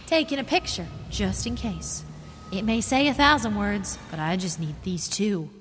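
A woman's voice: medium pitch, moderate pace, a bit bright, faint music behind, natural voice. A woman playing a plucky, inquisitive young amateur sleuth with a wry, eager delivery, in old-time radio mystery comedy style. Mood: wry, curious.

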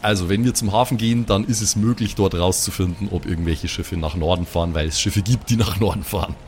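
A man's voice: sits low, quick pace, darkish tone, a little hiss or hum. A man playing in a tabletop game, chatting casually and rambling, with lots of hesitant restarts. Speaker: player.

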